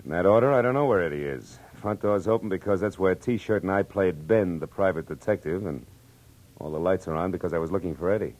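An American actor playing a wry, tough private detective in a 1940s-style radio drama, with clipped delivery and first-person narration. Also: male voice, sits low, a little fast, a little mellow, rough recording. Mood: brisk, wry. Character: hard-boiled, wisecracking male private detective narrating his case. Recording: old radio drama with music.

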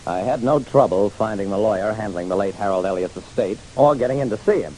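A man's voice: on the low side, fast, darkish tone, lightly acted, rough recording. An actor playing a hard-boiled private detective narrating his casebook in a wry, dramatic, punchy radio style. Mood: reflective. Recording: hissy old radio recording.

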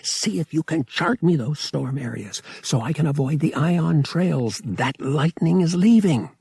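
A man's voice: fairly high pitch, lively intonation, measured pace, vocal fry, darkish tone, slightly rough, no echo, voice pushed up, full character voice. A man voicing a tough, impatient young space pilot who speaks curtly and gives orders under strain. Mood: tense, clipped. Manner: curt, commanding.

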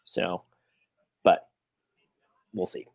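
A man's voice: drawn-out words, no echo, studio quality. A man co-hosting a soccer fan podcast, giving his views in a casual chat.

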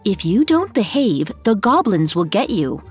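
Lady doing a bossy nanny in a kids story, scolding n spooky, lively pitch. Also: measured pace, husky, soft background music. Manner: scolding, ominous. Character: stern, teasing nanny scaring a naughty child.

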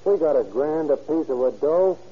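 A man's voice: fairly high pitch, animated, moderate pace, breathy edge. An actor playing a hard-boiled, matter-of-fact stick-up man with blunt slangy talk in old radio style. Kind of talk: 1930s radio acting. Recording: muffled old radio recording.

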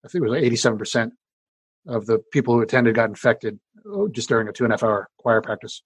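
A middle-aged man with a deep voice, an engineering professor casually explaining how speech releases particles, full of fillers and restarts. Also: animated, quick pace, husky, no room sound. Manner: conversational, many fillers and restarts. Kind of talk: explanatory interview answers.

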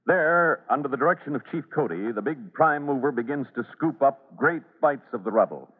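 A man's voice: medium pitch, animated, brisk. A man narrating and announcing an old-time radio serial in an emphatic, theatrical scripted style. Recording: reverberant, tinny vintage radio recording.

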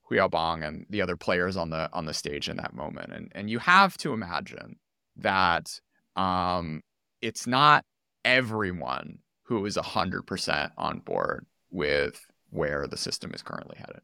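A man's voice: low voice, animated, unhurried, gravelly, pushed and bright, clean tone, no echo, studio quality. A man hosting an interview podcast in a casual, informal way, rephrasing his thoughts as he goes.

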